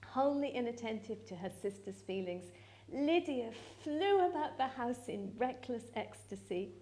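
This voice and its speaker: A woman giving a live dramatic reading of literary narration, measured and expressive. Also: a little high. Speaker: actress reading a novel aloud.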